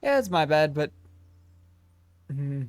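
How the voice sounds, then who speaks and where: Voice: medium pitch, sing-song, slow, no echo. A game streamer giving casual playthrough commentary, musing and a little hesitant while thinking aloud. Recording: game music behind the voice.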